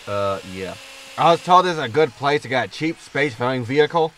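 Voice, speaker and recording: A man's voice: mid-range voice, expressive, a little fast, a bit bright, distant mic, a little hiss or hum, close to an everyday voice. An actor playing a grumpy, sarcastic male spaceship captain in a sci-fi comedy audio drama, with a dry manner. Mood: skeptical, sarcastic. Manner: dry, sardonic.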